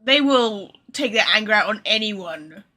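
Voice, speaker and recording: A woman's voice: medium pitch, lively intonation, a little slow, sharp tone, soft background music. A woman giving casual live game commentary as a streamer, with a blunt, sarcastic manner. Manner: reacts bluntly to dialogue, sarcastic.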